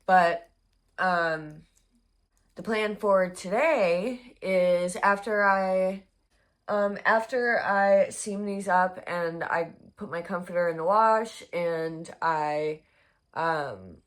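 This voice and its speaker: Young woman, hoarse congested voice, slow rambling vlog chat, lowish pitch. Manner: rambling, many fillers, unhurried. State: losing her voice, congested. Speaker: crochet vlogger.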